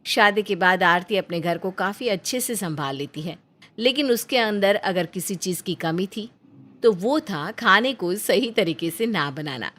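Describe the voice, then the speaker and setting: A woman's voice: mid-range voice, fairly bright tone. Woman narrating a family storybook tale.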